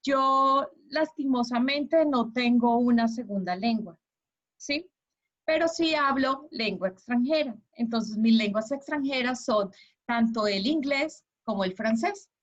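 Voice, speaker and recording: Higher-voiced Colombian woman teaching online. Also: a little slow. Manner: encouraging, checks understanding with questions. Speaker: language teacher leading an online class. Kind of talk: interactive lecture. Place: online video class with slides. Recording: dull, lo-fi online class audio.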